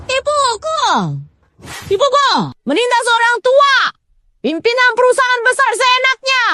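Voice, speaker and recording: A woman's voice: unhurried, bright, forceful tone, dry recording, clean studio sound, exaggerated voice. A woman playing a belligerent, scheming old neighbor who acts the aggrieved victim in a short drama. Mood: outraged, wailing. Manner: shrill, aggrieved, accusatory.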